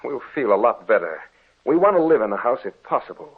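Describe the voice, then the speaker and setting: An actor playing an earnest, courteous, politely persistent husband in an old-time radio drama. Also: male voice, mid-range voice, very animated, fairly quick, husky. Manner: earnest, respectful. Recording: scratchy, narrow-band vintage radio recording.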